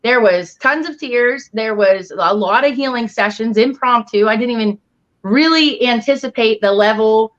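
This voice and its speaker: A woman's voice: on the high side, moderate pace. A woman speaking earnestly and emphatically in a casual panel talk, as a paranormal investigator and healer. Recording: dull, lo-fi online audio.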